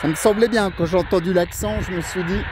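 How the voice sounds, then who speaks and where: A man with a slightly high voice talks casually, like a radio hobbyist commenting as he goes. His delivery is friendly and musing, with frequent hesitation. The recording is made outdoors and carries some background noise. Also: fairly even intonation, fast. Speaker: amateur radio operator. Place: outdoors at a hilltop radio spot.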